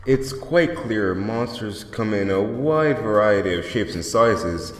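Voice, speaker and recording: Man as inquisitive fan, lively intonation, echoing reverb, question reading, comic dub. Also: fairly low pitch, slow, a little hiss or hum. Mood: thoughtful. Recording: echoey hall-like reverb.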